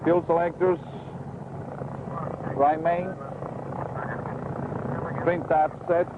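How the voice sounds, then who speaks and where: Male pilot, slightly high voice, clipped checklist delivery, narrow lo-fi audio under roaring engine noise. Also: little pitch movement, extremely slow. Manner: clipped, methodical. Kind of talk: procedural checklist callouts. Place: small aircraft cockpit. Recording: tinny film audio, loud aircraft noise. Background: loud engine drone.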